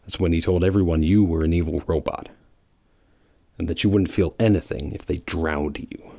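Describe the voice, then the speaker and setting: A man playing a deep, gravelly brooding hedgehog. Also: steady tone, normal speed, warm tone, no echo, clean studio sound. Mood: bitter. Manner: bitter, protective, angry. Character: brooding bitter male hedgehog hero. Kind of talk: fan audio drama.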